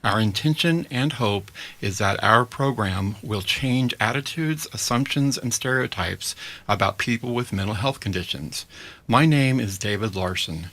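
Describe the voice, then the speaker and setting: A man hosting a community radio program, reading a measured, prepared opening and then interviewing a guest. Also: fairly low pitch, lively intonation, a bit bright. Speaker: radio host. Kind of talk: scripted intro and interview. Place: radio studio.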